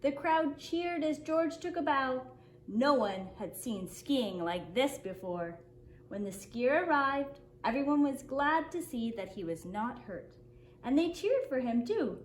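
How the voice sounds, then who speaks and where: A woman with a high voice reads a picture book aloud for young children in a roomy, echoing space. She tells it expressively and deliberately, taking her time like a kindergarten teacher at story time, with faint noise behind her. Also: very animated.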